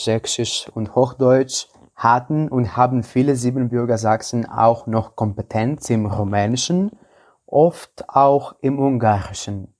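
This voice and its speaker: Male, early twenties, student co-host, explanatory podcast delivery, mid-range pitch. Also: darkish tone. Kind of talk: prepared explanatory podcast talk.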